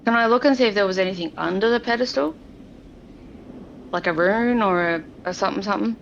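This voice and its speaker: A woman's voice: mid-range voice, fast talker, creaky, highs cut off, a little hiss or hum. A woman playing a tabletop game, improvising her character's actions in casual chat.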